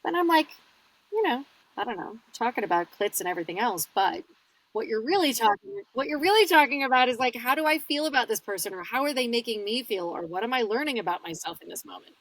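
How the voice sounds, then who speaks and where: A woman's voice: on the high side, lively intonation, fast talker, fairly bright tone, dry recording, low-quality recording. A North American woman chatting casually as a podcast guest and audiobook narrator, telling stories with little acted-out asides.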